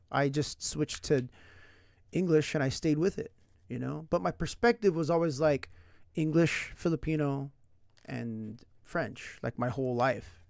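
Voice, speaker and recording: A young man streams late at night, talking through his thoughts in a loose, rambling way and dropping "like" and "right?" into most sentences. His voice has a gravelly, fried edge and a mid pitch. The sound is dry and close. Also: no echo. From San Diego, United States. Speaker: language learning streamer. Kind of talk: casual livestream chat. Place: indoors at night.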